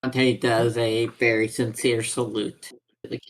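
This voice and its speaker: A man's voice: mid-range voice, level tone, a little slow. A man playing a tabletop roleplaying game, chatting casually and jokingly with the group.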